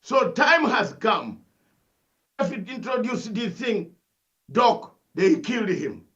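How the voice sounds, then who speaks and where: An older Ugandan-accented man with a very high, bright, forceful voice, lecturing with fervour and piling up rhetorical questions. He comes through a dull, lo-fi remote link. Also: sing-song, slow. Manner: emphatic, preachy, full of rhetorical questions and repetition. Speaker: analyst guest. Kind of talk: impassioned interview commentary.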